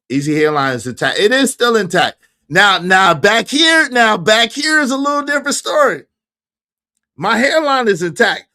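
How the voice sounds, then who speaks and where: A man around fifty hosts a casual livestream from home. His voice is bright and sits a little high. He talks animatedly, laughing and reacting to viewers' comments. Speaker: livestream host.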